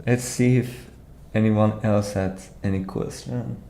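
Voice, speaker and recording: A man's voice: fairly low pitch, steady tone, slow pace, dark tone. A young man from Iran, live streaming at a computer, casually explaining contest solutions and reading chat with frequent restarts. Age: young adult. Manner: casual, hesitant, restarts sentences, laughs while reading chat.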